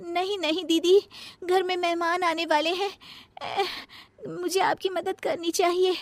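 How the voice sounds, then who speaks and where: A woman's voice: very high voice, flat delivery, a little slow, no room sound. A woman voicing a lazy, cunning younger daughter-in-law in a family comedy cartoon, with a whiny, sarcastic, defiant manner. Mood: feigned sweetness. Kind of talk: acted Hindi family comedy story.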